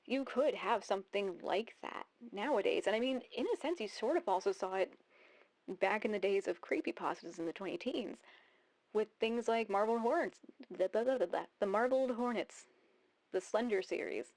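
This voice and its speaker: A woman's voice: medium pitch, normal speed, darkish tone, poor audio. A woman in her thirties giving casual video essay commentary, rambling and thinking aloud as she repeats phrases. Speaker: YouTube host.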